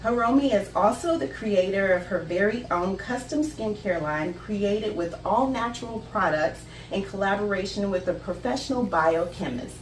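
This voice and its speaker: A woman's voice: mid-range voice, animated, moderate pace, hardly any pauses, slight background noise. Chatty woman host in a roomy space. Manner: chatty, friendly interviewer with a scripted intro. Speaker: host, realtor. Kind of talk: casual interview. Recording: roomy echo, distant mic, music behind.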